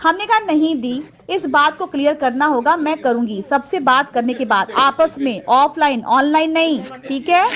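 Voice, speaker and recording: High-pitched indignant woman commentator. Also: normal speed, few pauses, fairly bright tone. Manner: indignant, emphatic, addresses viewers directly. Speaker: vlogger reading out chat messages and commenting. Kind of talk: casual commentary, reading messages aloud.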